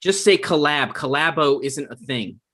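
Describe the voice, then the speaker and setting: A man playing a deadpan, argumentative adult son and aspiring writer in a comedy script read. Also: on the high side, normal speed. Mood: annoyed.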